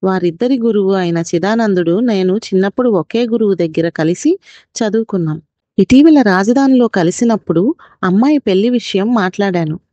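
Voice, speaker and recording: A woman voicing a thrifty, observant village husband who reasons in a measured way in a children's story. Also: medium pitch, lively intonation, mellow, dry recording, lo-fi sound, natural voice. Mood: calm, reflective.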